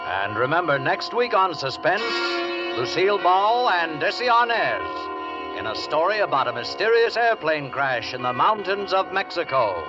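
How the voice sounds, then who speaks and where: Male program announcer, old-time radio, dramatic and formal, lively pitch, muffled recording with clear music behind. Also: moderate pace. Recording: dull vintage radio broadcast over music.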